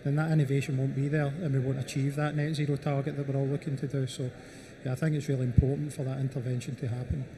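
A man's voice: medium pitch, flat delivery, quick pace, few pauses, noisy background. A man speaking as a panelist in a panel discussion, leaning on "you know" as filler. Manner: repeats "you know" often.

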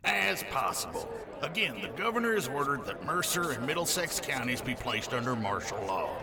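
A man's voice: mid-range voice, sing-song, normal speed, over a noisy crowd. A man playing a stern brigadier general giving a formal, commanding official address in a 1930s-style radio drama. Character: stern male brigadier general issuing martial law orders.

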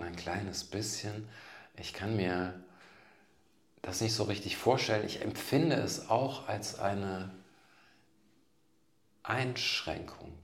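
A man in his fifties from Germany, a guest writer talking things through in a thoughtful, halting way, often restarting his phrases. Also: low voice, gravelly. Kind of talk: reflective conversation. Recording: roomy echo.

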